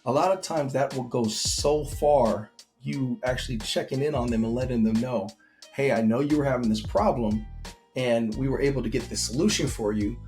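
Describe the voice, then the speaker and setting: A man casually sharing tips for rental hosts, talking in a relaxed way with frequent pauses and fillers. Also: mid-range voice, faint music behind. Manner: relaxed, conversational with frequent ums. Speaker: host. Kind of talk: casual advice talk.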